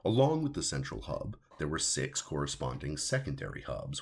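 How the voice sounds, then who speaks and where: A man narrates science fiction in an extremely low voice. It is full of gravelly fry and husky grain. His reading is measured and deliberate, with lively swings in pitch for emphasis. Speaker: author reading his own story.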